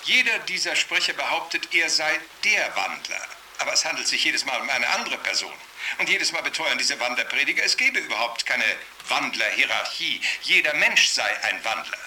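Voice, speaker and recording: A man's voice: mid-range voice, sing-song, normal speed, pushed and bright, a little hiss or hum, poor audio. An actor playing an alarmed, self-assured male cult expert, lecturing formally and emphatically in a radio drama interview. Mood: indignant, lecturing. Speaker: TV studio expert being interviewed. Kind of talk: radio drama acting as broadcast expert commentary.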